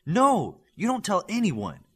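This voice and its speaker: A man's voice: sits high, wildly swooping pitch, a little slow, husky, voice pushed up, heavily acted. A man voicing a firm, loyal and commanding teenage boy team leader in an audiobook.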